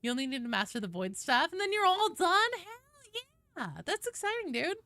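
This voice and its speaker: A woman's voice: extremely high pitch, big pitch swings, normal speed, pushed and bright. A woman chatting casually and expressively with viewers as she hosts a gaming livestream. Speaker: game streamer and host.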